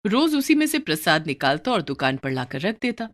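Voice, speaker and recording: A woman's voice: on the low side, very animated, moderate pace. A woman narrating a scripted moral story for a cartoon.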